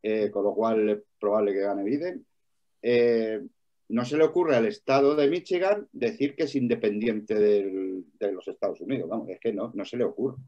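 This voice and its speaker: A man's voice: fairly low pitch, normal speed. A man in a heated debate, arguing hard, repeating his points and talking over the others. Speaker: debate participant. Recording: dull lo-fi recording.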